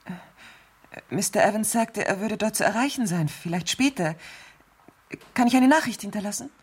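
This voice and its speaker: A woman's voice: medium pitch, expressive, normal speed, slightly rough. An actress playing an anxious, demanding rich wife who fears for her life in a radio thriller, stammering and pleading. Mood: uneasy, insistent. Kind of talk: 1970s German radio thriller acting.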